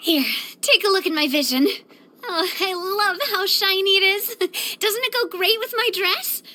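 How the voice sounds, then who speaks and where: A woman's voice: extremely high pitch, a little slow, heavily acted. An actress playing a spirited, proud and affectionate young woman boss in a video game, with a theatrical, bubbly delivery. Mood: bubbly, admiring. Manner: theatrical, expressive, bubbly, with playful grandiosity. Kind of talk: scripted video game character voice lines.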